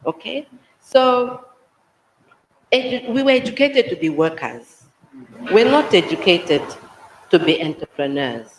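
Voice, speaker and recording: Soft-spoken woman in the audience, earnest and measured, recorded in a roomy hall. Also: mid-range voice, sing-song, slight background noise. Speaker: audience member asking a question. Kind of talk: audience comment at a conference. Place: conference hall.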